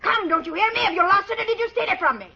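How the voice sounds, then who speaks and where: A woman's voice: extremely high pitch, rapid-fire, heavily acted. A woman acting a harsh, grasping, bullying tavern keeper with a scolding manner in an old radio drama. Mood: angry, accusing. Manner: scolding, sharp-tongued. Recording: dull old radio recording.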